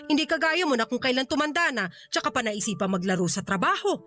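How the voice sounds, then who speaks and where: Critical Filipino aunt, middle-aged woman, blunt, needling, highish voice, radio drama, light music behind. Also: lively intonation, fast talker, fairly bright tone. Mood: critical, cutting. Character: sharp-tongued, critical aunt vetting her niece's suitor. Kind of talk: Tagalog radio drama acting.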